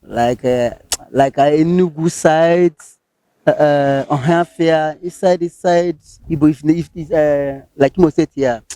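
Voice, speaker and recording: A man's voice: fairly high pitch, unhurried, dark tone, clean tone, no room sound. A Nigerian man from Rivers State, answering interview questions hesitantly in Pidgin-tinged English. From Etche, Rivers State, Nigeria. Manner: hesitant, many fillers and restarts.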